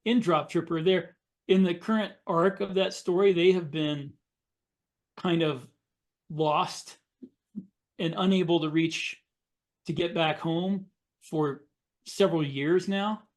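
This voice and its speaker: Slightly higher-voiced man, halting podcast talker. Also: fairly even intonation. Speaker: podcast panelist, science fiction author. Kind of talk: casual podcast discussion.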